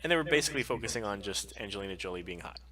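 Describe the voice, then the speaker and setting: A man's voice: on the low side, expressive, rapid-fire, sharp tone, a little hiss or hum. A man co-hosting a podcast live stream, chatting casually and bluntly with opinions and plenty of swearing.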